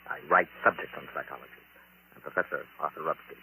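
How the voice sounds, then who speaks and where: A man playing an obsessive, resentful middle-aged psychology professor in 1940s radio drama, insistent and halting on a vintage recording. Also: very deep voice, steady tone, bright, forceful tone, husky, exaggerated voice, low-quality recording. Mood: probing, defensive. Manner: insistent, halting, intense delivery.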